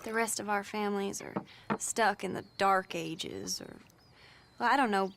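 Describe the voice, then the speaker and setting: An actress playing a spirited young Southern lady with a prim, breathy, giggly manner. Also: medium pitch, steady tone, moderate pace, background noise, close to an everyday voice. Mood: giddy.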